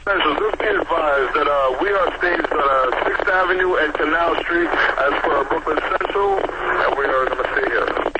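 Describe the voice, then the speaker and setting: A man's voice: a little high, moderate pace. Man on very noisy emergency radio. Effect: two-way radio. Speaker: emergency medical responder calling dispatch. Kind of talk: terse radio report.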